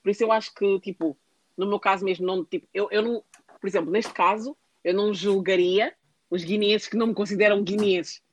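A woman's voice: medium pitch, fairly even intonation, brisk, poor audio. A young adult woman in casual conversation, rambling and full of fillers, often restarting her sentences. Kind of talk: casual chat.

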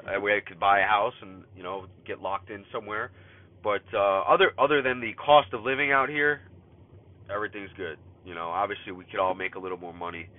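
A man's voice: on the low side, steady tone, a little fast. Stuffy man rambling while driving. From California. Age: 30s. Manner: rambling, many fillers and restarts. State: stuffy from a cold. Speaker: podcast host. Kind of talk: casual spoken journal. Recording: phone-like line, faint car noise. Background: car road noise.

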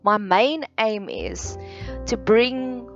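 A woman from South Africa with an Afrikaans accent, hosting a solo podcast in a slow, earnest, deliberate way with many pauses. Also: on the high side, wildly swooping pitch. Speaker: podcast host. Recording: dull lo-fi sound with faint music.

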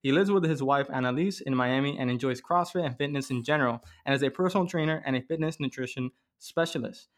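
A man hosting a podcast, reading a guest bio and then asking relaxed, friendly questions. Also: mid-range voice, quick pace, few pauses. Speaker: podcast host. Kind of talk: casual interview hosting.

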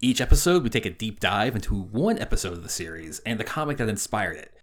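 A man's voice: on the low side, sing-song, fast talker. A man in his forties co-hosting a podcast in a casual, chatty way. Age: 40s. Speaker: podcast co-host.

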